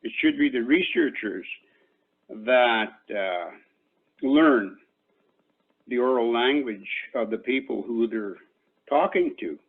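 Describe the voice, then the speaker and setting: An older man whose first language is Cree, an Elder from Canada, giving a reflective talk to an online panel in a slow, measured way with many pauses. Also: medium pitch, expressive, husky. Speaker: Elder and invited speaker. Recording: tinny phone-like call audio.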